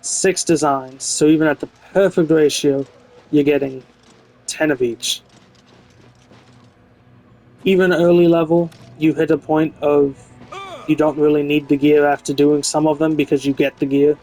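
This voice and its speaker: A man's voice: a little high, little pitch movement, measured pace, long pauses, a little mellow, low-quality recording. A man giving a casual, unscripted video game review in a frank, opinionated way. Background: music behind the voice.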